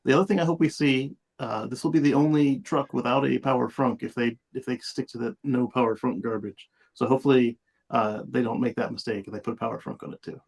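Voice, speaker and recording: A man co-hosting a casual panel show, commenting in a conversational, halting way. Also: medium pitch, fairly even intonation, vocal fry. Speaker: show co-host.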